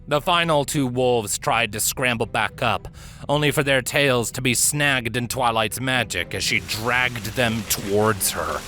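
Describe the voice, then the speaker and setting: A man reading fiction aloud as a dramatic story narrator, with theatrical, deliberate emphasis. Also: medium pitch, pushed and bright. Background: background music.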